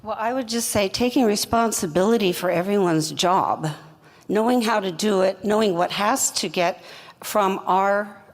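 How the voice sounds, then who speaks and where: An older woman, a company co-founder, telling her story in an interview in a measured, plainspoken way. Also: medium pitch, long reverb tail.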